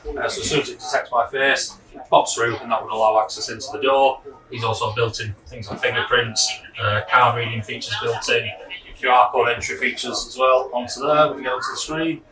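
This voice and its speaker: A man walks through a product demonstration at a brisk pace, in an informal and practical style. His voice sits at an ordinary male pitch and is picked up from a distance in an echoey room. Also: flat delivery, a crowd in the background.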